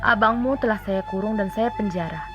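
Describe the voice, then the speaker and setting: A woman's voice: medium pitch, fairly quick, lightly acted. Stern, unyielding rich merchant voiced by a woman. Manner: firm, unyielding. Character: stern, hard-hearted wealthy male merchant. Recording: dull lo-fi audio under music.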